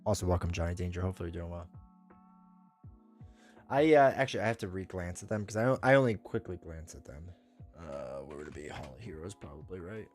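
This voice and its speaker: A man's voice: low-pitched, animated, fairly quick, a little mellow, faint music behind. Stuffy-nosed male streamer chatting with chat. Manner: rambling, self-correcting, opinionated. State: stuffed up from a cold. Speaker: streamer host. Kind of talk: casual live-stream chat with viewers.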